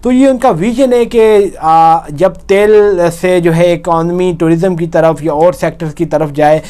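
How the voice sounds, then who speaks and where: A man with a Pakistani accent delivers a news report with commentary. His voice is fairly high for a man and notably clean and smooth. He explains as he goes, often rewording and repeating a point. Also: a little slow, warm tone.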